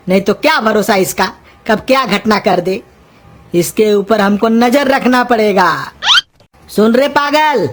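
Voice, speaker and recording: Cranky old cartoon uncle, played by a woman: high-pitched, exasperated scolding. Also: slight background noise, lightly acted. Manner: gruff, insulting, exasperated scolding. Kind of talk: cartoon comedy dialogue in rustic Hindi.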